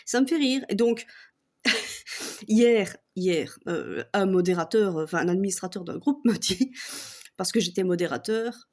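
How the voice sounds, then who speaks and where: A woman's voice: mid-range voice, expressive, a little slow, a little mellow. A woman from Belgium with a Belgian French accent, ranting casually and mockingly, swearing often and breaking into laughter. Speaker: vlogger. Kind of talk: casual comic rant to camera.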